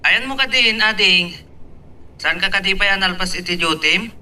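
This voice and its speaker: A man's voice: high voice, animated, normal speed, sharp tone, exaggerated voice. A man playing a concerned young older brother in an Ilocano audio drama, with an Ilocano accent.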